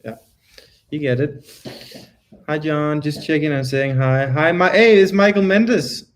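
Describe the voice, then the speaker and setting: A man's voice: fairly high pitch, measured pace. A Danish man in his early thirties with a Danish accent, co-hosting a casual livestream from home, self-mocking and dramatic, often stumbling over his words. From Denmark. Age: 30s. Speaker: vlogger co-host of a livestream.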